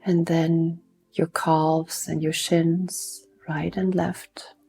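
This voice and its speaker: A woman leading a guided mindfulness meditation in a soft, soothing manner, unhurried and leaving long pauses between instructions. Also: sits low, little pitch movement, vocal fry, faint music behind.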